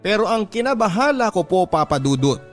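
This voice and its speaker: A man with a Filipino accent narrating a radio drama letter in the first person as a heartbroken, long-suffering wife, earnest and measured. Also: high voice, very animated. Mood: resigned, hurt. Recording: music bed under narration. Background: background music.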